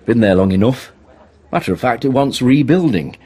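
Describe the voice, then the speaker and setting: A man acting in a radio play as a brash, ambitious, socially awkward young man, with a husky voice. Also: medium pitch, expressive, normal speed, mellow. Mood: cocky, insistent. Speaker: actor in an audio play. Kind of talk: British radio drama acting.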